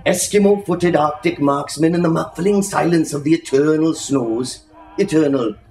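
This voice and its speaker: A man giving a theatrical, expressive literary reading, savouring the phrases as a storyteller. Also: a little high, moderate pace, faint music behind. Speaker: reader, storyteller. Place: live reading in an indoor shop. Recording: echoey room with faint background noise.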